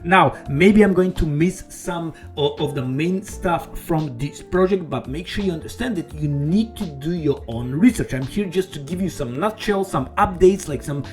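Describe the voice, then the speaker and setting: A man hosting a casual crypto video, talking eagerly in a slightly raised voice. He rambles in non-native English phrasing and keeps dropping "okay" and "all right." Faint guitar music plays softly behind him. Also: on the high side, normal speed, hardly any pauses. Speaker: crypto YouTuber. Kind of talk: casual screen-share walkthrough.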